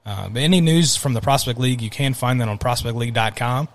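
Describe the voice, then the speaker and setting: A man's voice: mid-range voice, fast. A man hosting a sports podcast, thanking his guest and signing off in an upbeat, promotional way. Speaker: podcast host. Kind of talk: podcast interview wrap-up.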